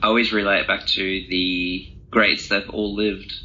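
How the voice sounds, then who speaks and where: A man's voice: lowish voice, level tone, normal speed, sharp tone. A young man answering interview questions in a casual, reflective way, thinking aloud in informal language. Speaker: interview guest, author and speaker. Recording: dull, lo-fi audio.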